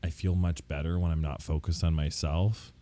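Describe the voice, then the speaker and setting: A man's voice: rumbling low, flat delivery, moderate pace, dark tone. A man chatting casually and candidly, with campy joking slang and a self-deprecating streak. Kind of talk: casual podcast chat.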